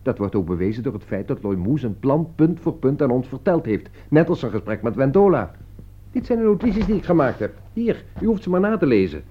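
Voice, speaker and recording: A man acting an articulate, insistent gentleman who persuades and commands as he defends a friend in a Dutch radio play. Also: medium pitch, very animated, fairly quick. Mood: measured explaining. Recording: dull, lo-fi radio play recording.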